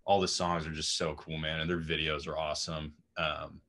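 Laid-back raspy young man on a video call. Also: a bass voice, level tone, moderate pace, sharp tone. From Austin, Texas. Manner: laid-back, rambling, lots of fillers. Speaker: guest musician. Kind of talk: casual interview chat. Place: home room with music gear. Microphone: video call webcam.

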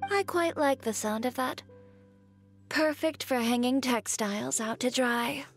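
A woman's voice: high voice, a little slow, no room sound, soft background music. A woman playing a genteel, friendly character in a fantasy game. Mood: pleasant, warm. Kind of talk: English game voice acting.

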